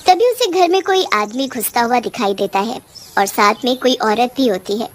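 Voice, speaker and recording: A woman's voice: a little high, animated, moderate pace, a little hiss or hum. A woman reading scripted narration for a cartoon horror-comedy story.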